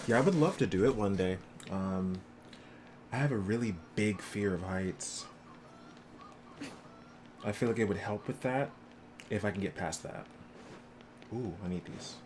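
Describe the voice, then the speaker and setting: Low-voiced man streaming a game. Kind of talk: reading game text aloud and casual stream chat. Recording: noisy stream with game ambience behind. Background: game sounds.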